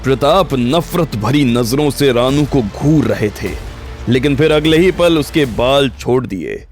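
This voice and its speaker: A man narrates a scripted audio story in a medium-pitched voice. Soft music plays behind his narration. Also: lively intonation, a little slow. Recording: background music under the voice.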